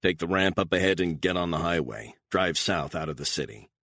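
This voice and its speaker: A man's voice: sits low, a little fast, no echo, studio quality, heavily acted. A man playing a cold menacing gunman. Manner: flat, clipped threats.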